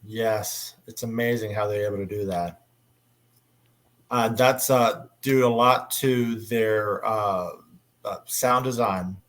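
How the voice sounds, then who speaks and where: A man hosting a casual convention panel, talking in an enthusiastic, halting way. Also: lowish voice. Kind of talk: casual panel discussion about audio dramas.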